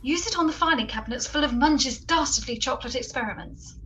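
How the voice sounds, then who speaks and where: A woman playing a cool, brisk, tough ally in a comedy radio play, deadpan and commanding. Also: high voice, a little hiss or hum.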